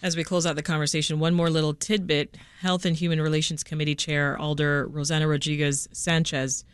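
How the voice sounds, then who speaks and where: Radio host, smooth clear voice, brisk, keeps the panel moving, dry sound. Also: mid-range voice, little pitch movement, fairly bright tone, no room sound. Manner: brisk, steers the conversation. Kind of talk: hosting a news roundtable.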